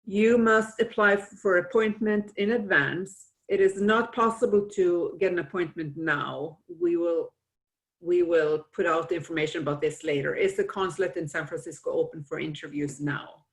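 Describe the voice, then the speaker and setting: A woman's voice: fairly low pitch, steady tone, normal speed. A woman moderating a webinar, steadily reading out audience questions and adding short explanations, over a video call.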